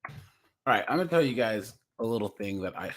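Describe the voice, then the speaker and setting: A man's voice: lowish voice, expressive, fast talker. A man hosting a casual livestream reaction, chatty and rambling as he laughs along. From United States.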